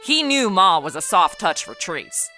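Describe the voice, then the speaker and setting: A woman's voice: on the low side, very animated, a little slow, pushed and bright, soft background music, exaggerated voice. A woman playing a sarcastic modern woman out of place in the 1890s, quipping in slang in a comedy audio drama. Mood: wry narration.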